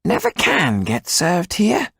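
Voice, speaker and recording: A man voicing a sharp, tetchy, scheming man with a pinched, raspy voice and a curt, irritable manner. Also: high voice, big pitch swings, a little slow, no room sound, clean studio sound, voice pushed up, full character voice.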